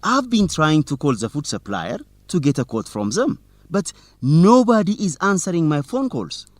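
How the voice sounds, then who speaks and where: A man's voice: fairly high pitch, sing-song, moderate pace, no echo. An actor playing a determined, teasing young male student reporter in an educational radio drama, in plain, simple sentences. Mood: determined, suspicious.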